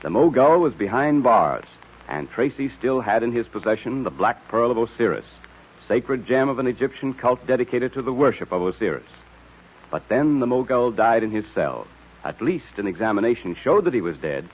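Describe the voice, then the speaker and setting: A man's voice: medium pitch, animated, moderate pace. A man announcing and narrating in the style of a 1940s radio serial, with an emphatic, salesmanlike delivery. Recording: tinny old radio recording.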